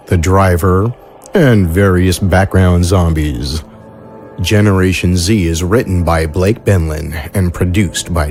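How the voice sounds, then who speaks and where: A man's voice: low voice, darkish tone. A man reading scripted closing credits for an audio drama as one of its cast.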